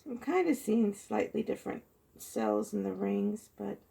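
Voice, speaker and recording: Hoarse, gravelly woman painter. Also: mid-range voice, lively intonation, moderate pace. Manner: soft-spoken, chatty, muttering while working. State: hoarse, froggy voice. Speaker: acrylic pour painter. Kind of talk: casual narration while painting. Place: indoor craft table. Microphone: phone held high above the table.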